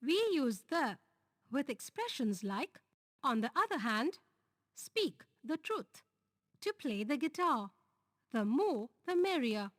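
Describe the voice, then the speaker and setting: A woman with an Indian English accent reads a grammar lesson aloud, moving deliberately through lists and examples. Her voice sits high and swings widely in pitch, and her pace stays unhurried. The recording is close and dry. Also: very animated, no echo. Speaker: teacher.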